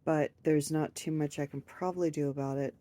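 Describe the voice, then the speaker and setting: A woman chatting casually to camera about her crochet projects, hoarse and stuffed up from a cold, rambling and laughing at herself. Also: sits low, fairly even intonation, fairly quick, warm tone, dry recording. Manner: rambling, self-deprecating with frequent laughs. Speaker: crochet vlogger.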